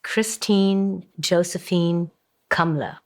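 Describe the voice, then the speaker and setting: A woman in her seventies, a stage actor and drama therapist, introducing herself in a thoughtful, even tone. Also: fairly low pitch, slow. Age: 70s. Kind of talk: documentary interview.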